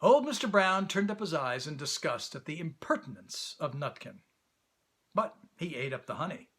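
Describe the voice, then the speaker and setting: Male storybook narrator: bright, high voice with very lively intonation, reading for children. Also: moderate pace, slightly rough.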